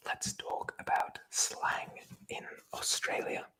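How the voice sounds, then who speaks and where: Someone whispering in a breathy voice, reading aloud from a book in an ASMR style with chatty, informal commentary. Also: takes it slowly, bright, forceful tone. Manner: chatty, informal, swears casually. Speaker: presenter reading aloud from a tabletop RPG book.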